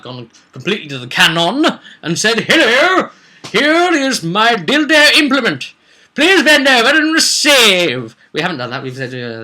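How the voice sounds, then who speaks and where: A man's voice: medium pitch, pushed and bright. A man speaking as a game master, recapping a roleplay session in a casual, rambling, digressive and jokey way. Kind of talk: casual rambling vidcast storytelling.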